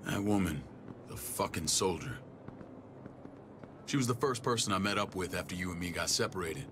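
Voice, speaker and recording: An actor playing a gruff, commanding military man and protective older brother, blunt and profane, in a cinematic video game. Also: sits low, moderate pace, background noise. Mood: earnest, regretful. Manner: blunt, profane, clipped orders. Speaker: security chief of a survivor settlement.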